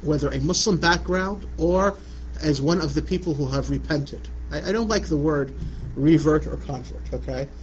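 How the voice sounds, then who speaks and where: A man's voice: on the high side, moderate pace. A middle-aged man from Iraq giving a religious lecture in a conversational way, often asking "you know what I'm saying". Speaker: Islamic lecturer. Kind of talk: religious lecture answering a question. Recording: muffled lo-fi recording with light background noise.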